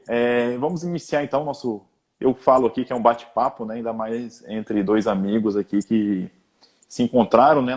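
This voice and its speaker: A man's voice: on the low side, a little mellow. A man hosting an online interview, chatty and friendly, often adding tag questions. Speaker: host, exam-prep teacher.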